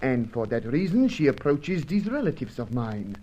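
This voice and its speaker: A deferential Brazilian manservant in an old-style radio adventure. He talks earnestly in formal, halting, foreign-sounding phrases at a middle pitch. The recording is a bit dull. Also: very animated, warm tone. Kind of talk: old-fashioned radio serial acting.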